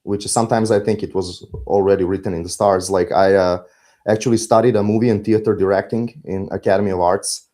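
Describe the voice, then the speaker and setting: A middle-aged man with a Serbian accent, talking reflectively as an interview guest, halting and philosophical as he searches for words. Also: lowish voice, steady tone, mellow. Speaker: podcast guest, musician.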